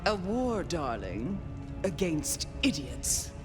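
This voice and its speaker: An actress playing a haughty, languid ancient vampire aristocrat in a video game, with a theatrical, condescending drawl. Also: female voice, sits low, very animated, slow pace, over music, exaggerated voice. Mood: languid disdain. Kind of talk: dramatic video game acting.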